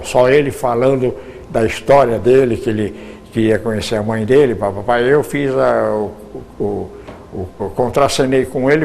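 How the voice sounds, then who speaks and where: A man's voice: mid-range voice, gravelly, darkish tone, slight background noise. An older man, recalling his years in radio drama in an interview, often stumbling and repeating words. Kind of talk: reminiscing interview answers.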